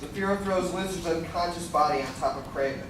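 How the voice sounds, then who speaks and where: A man's voice: a little high, quick pace, close to an everyday voice. A man reading scripted narration for a live radio play, describing the action as narrator. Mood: brisk narration. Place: live convention stage reading in a large room. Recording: echoey noisy hall, recorded from far off.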